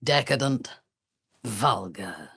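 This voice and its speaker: An imperious dark elf noblewoman for a video game. Her low voice is husky and unhurried, every phrase measured and threatening. The sound is close and dry. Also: animated, dry recording, full character voice. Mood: cool, measured. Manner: haughty, deliberate, menacing. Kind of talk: video game character acting.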